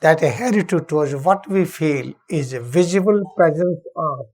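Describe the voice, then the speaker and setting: A man with an Indian accent leads a guided meditation, speaking solemnly and deliberately in short phrases with long pauses. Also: on the high side, darkish tone. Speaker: spiritual teacher leading guided meditation.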